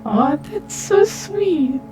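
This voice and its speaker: A woman's voice: sits high, expressive, drawn-out words, warm tone, soft background music, full character voice, rough recording. A woman playing a playful, teasing young princess who jokes with her companions. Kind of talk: casual roleplay dialogue.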